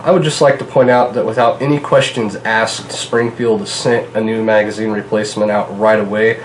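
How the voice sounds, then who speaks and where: A man in his thirties with a fairly low voice talks casually to his viewers from a home kitchen, his nose stuffed up from a cold. He rambles in a relaxed way, full of "uh" and "you know", recorded by a camera across the table with a faint hum of room ambience behind him. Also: low-quality recording. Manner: relaxed, chatty, many fillers. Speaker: gun channel host. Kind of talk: casual product vlog.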